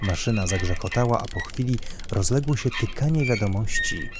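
A man's voice: on the low side, expressive, brisk, background noise. A man narrating a scripted dramatic story as its reader.

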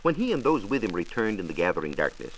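A man's voice: low-pitched, big pitch swings, fast. An American man, a science reporter narrating a scripted 1940s radio programme in a formal, precise, emphatic way. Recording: faintly noisy 1940s radio transcription disc.

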